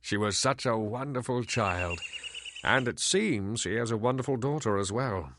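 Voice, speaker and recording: An actor playing an ancient, reclusive elf sage in a video game, sardonic and weary, with theatrical, deliberate delivery. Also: male voice, mid-range voice, a bit bright, slight background noise, natural voice. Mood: wistful. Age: older.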